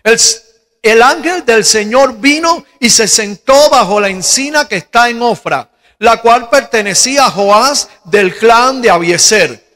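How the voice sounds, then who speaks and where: Slow, high-voiced Cuban pastor in a hall. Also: male voice, expressive, sharp tone. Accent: Cuban Spanish. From Cuba. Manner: slow, deliberate preaching with rhetorical questions. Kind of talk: sermon. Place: large church hall. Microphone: handheld mic. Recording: long hall reverb.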